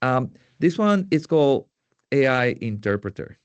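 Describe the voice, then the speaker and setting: A man's voice: medium pitch, big pitch swings, clear, resonant tone, dry recording, highs cut off. Puerto Rican male presenter, Spanish native, mid voice, hesitant delivery, dry sound. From Puerto Rico. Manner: halting, many fillers and restarts. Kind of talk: tech presentation.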